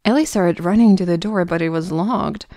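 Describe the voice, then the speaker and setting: A woman narrator with a somewhat low voice. She reads scripted story narration in a dry, close-sounding recording. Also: normal speed, mellow, dry recording.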